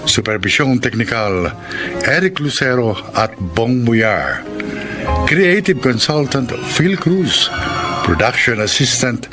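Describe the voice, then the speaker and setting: A man's voice: medium pitch, very animated. A man as a radio drama host, reading the credits and introducing the next story formally. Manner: slow, formal, measured. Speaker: program host and announcer. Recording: music bed behind voice.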